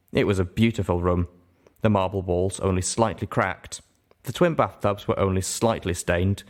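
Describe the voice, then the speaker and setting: A man with a fairly low voice narrating a story aloud in an expressive audiobook style. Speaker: narrator reading a fan fiction story aloud.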